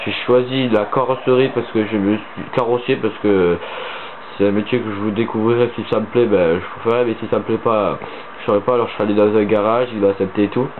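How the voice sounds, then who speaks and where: A man's voice: on the low side, fairly even intonation, fast talker. A teenage boy with a cold and a stuffy nose, rambling casually with many hesitations as a gaming channel host. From southern France. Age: teens. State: stuffy-nosed from a cold, sniffling. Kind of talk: casual gaming commentary voice-over. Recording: tinny phone-like mic with faint hiss.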